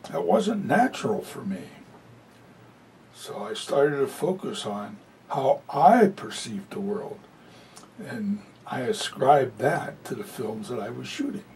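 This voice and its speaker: A man interpreting a talk aloud, picked up from a distance in a hall with a faint low rumble behind him. His voice has a gravelly edge and moves lively up and down. He talks in a loose, conversational way, full of fillers. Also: takes it slowly. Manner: conversational, many "you know" fillers. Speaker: sign language interpreter voicing a presenter. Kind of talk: live spoken interpretation of a talk.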